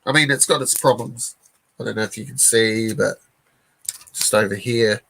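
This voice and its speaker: A man's voice: medium pitch, moderate pace, vocal fry, slight background noise, rough recording. Laid-back New Zealand man chatting. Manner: rambling, relaxed, many fillers and restarts. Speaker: comic collector hosting a live stream. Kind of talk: casual live-stream chat.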